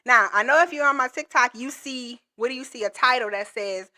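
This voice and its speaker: A woman with a high, bright and forceful voice leads a livestream lesson with lots of energy. She stays playful and chatty and talks straight to her viewers. She keeps her audience moving along like a coach. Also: a little fast. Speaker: government contracting coach.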